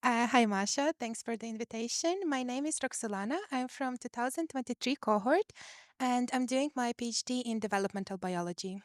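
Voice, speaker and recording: Smooth-voiced young woman student. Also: fairly high pitch, a little fast, no room sound, studio quality. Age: young adult. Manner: thoughtful, many fillers, earnest. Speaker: PhD student, panel guest. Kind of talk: casual panel answers.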